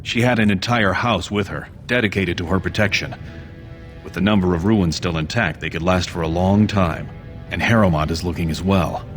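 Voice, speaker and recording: An actor playing a scheming, ambitious dwarven prince in a fantasy video game, speaking in a formal, measured and persuasive way. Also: male voice, deep voice, faint music behind, close to an everyday voice. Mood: calculating.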